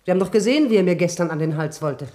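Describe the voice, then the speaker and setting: A woman's voice: on the low side, lively intonation, racing, dark tone. A woman acting as a wary farm woman in a radio drama, plain-spoken and pointed. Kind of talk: German radio play dialogue.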